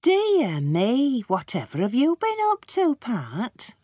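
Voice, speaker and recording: A woman's voice: fairly high pitch, huge pitch swings, takes it slowly, mellow, no echo, clean studio sound, full character voice. A woman voicing a kindly, fussing village housewife in a children's audiobook. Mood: concerned fussing. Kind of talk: children's audiobook character reading.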